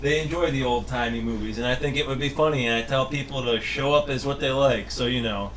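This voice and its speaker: A man's voice: mid-range voice, quick pace, a bit bright. A man chatting casually and jokingly as a podcast panelist, on a distant mic in a noisy room.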